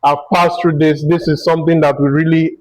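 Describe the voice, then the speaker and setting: Nigerian male talk host, somewhat high pitch, discursive and conversational. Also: flat delivery, brisk, no room sound, poor audio. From Akwa Ibom, Nigeria. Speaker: interview host. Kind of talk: conversational interview.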